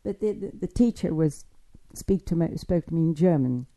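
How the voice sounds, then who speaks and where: An older woman telling her life story in a halting, digressive way, with frequent restarts and fillers. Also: low voice, lively intonation, dark tone. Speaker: interviewee recounting childhood. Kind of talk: spoken memoir, oral history.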